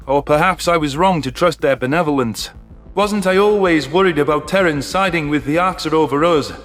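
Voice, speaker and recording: Man playing a bitter, higher-voiced alien veteran. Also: a bit bright, close to an everyday voice. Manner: vehement, bitter, dramatic delivery. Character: bitter, hateful male alien veteran, grieving father.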